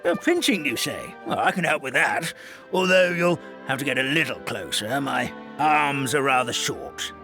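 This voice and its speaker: Dithering, bright-voiced old hamster elder. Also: male voice, mid-range voice, lively intonation, normal speed, faint music behind. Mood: helpful. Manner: courtly, dithering, flustered. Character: forgetful, fussy old paper hamster elder, male. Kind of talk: video game voice acting.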